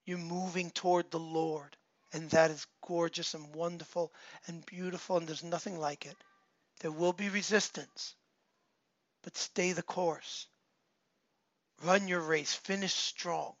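A man's voice: fairly high pitch, level tone, a little slow, no echo, muffled recording. A man pastor giving announcements and appeals in an online church service, earnest and repetitive, his voice still worn from a recent cold. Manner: earnest, repeats key phrases, many filler ums. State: recovering from a cold, voice not fully back.

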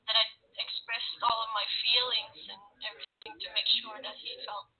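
A woman in her twenties from Sweden, a guest telling her story earnestly, sometimes halting. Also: on the high side, flat delivery, soft background music. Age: 20s. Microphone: video call. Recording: tinny phone-line audio.

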